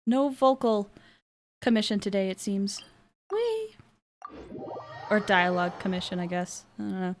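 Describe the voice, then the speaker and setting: A woman's voice: mid-range voice, big pitch swings, a little slow, long pauses, slight background noise. A woman live-streaming a video game, chatting casually and playfully with little exclamations and sound words. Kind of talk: casual chat while playing. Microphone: streaming microphone.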